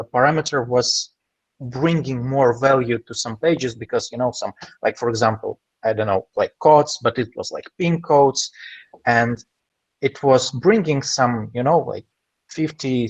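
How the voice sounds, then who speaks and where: A man's voice: medium pitch, breathy edge, no room sound. A man taking part in an online roundtable, explaining an experience hesitantly with many fillers over a video call. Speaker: roundtable participant. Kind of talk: casual online roundtable chat. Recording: dull dry call audio.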